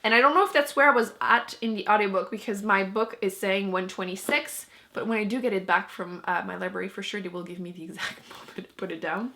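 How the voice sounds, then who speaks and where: A woman's voice: medium pitch, fast talker, few pauses, bright, forceful tone. A young woman whose first language is French, chatting casually to camera as a book vlogger, laughing often and poking fun at herself.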